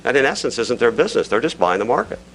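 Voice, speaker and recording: A man speaking extemporaneously as a conference discussant, laying out pointed questions in a deliberate, lawyerly manner. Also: mid-range voice, big pitch swings, fairly bright tone. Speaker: panel discussant posing questions. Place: live conference session. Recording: noticeable background noise, live event.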